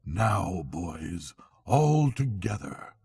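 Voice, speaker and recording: A man's voice: deep voice, big pitch swings, drawn-out words, vocal fry, darkish tone, breathy edge, pitched below the performer's own voice, exaggerated voice. A man voicing a placid, commanding badger leader in a storybook, speaking firmly.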